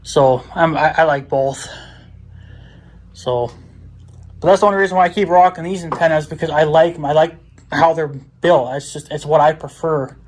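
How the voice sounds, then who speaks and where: A man with a slightly high voice talks casually to his viewers while working on a hands-on project. He rambles and thinks aloud, in a folksy, informal way. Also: fairly even intonation, normal speed. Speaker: vlogger. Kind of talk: casual hands-on DIY vlog talk to viewers.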